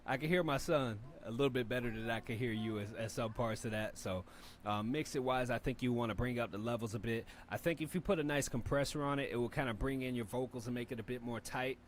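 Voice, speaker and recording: A laid-back man with a deep voice talks through mixing advice for a rap review stream, rambling and peppered with fillers and swearing. His pitch sits mid-range for a man. A faint hum of room noise runs under him. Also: fast. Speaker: rap review show host and engineer. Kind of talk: casual spoken feedback and mixing tips.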